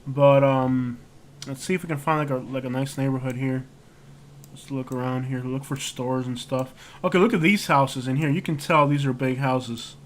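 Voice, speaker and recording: A man's voice: medium pitch, fairly even intonation, moderate pace, slight background noise. A man hosting a casual livestream, rambling and chatting loosely with lots of filler words while he browses and reacts. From United States. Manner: rambling, heavy on fillers like "like" and "you know". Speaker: livestream host. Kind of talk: casual live-stream chat while browsing street views.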